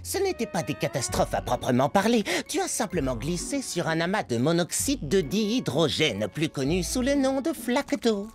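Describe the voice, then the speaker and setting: A woman's voice: on the low side, huge pitch swings, moderate pace, hardly any pauses. Swoopy-voiced nerdy cartoon boy. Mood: pedantic. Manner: pedantic, formal, articulate. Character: earnest, nerdy, anxious young boy scientist. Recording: loud music behind.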